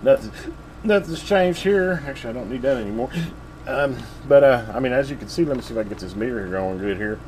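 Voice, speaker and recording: An easygoing man talks through a hands-on radio review in a loose, rambling way, full of fillers. His pitch sits in a middle range. A faint background hum runs under his voice. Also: lively intonation, quick pace, a little mellow, low-quality recording. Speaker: radio repair video host. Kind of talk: casual hands-on review chat. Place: home ham radio station room.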